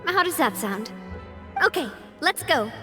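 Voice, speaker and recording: A woman's voice: high voice, big pitch swings, unhurried, music in the background. An actress playing a cheerful, friendly young woman in a video game, chatty, easygoing and sincere. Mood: inviting, cheerful. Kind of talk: video game character voice acting.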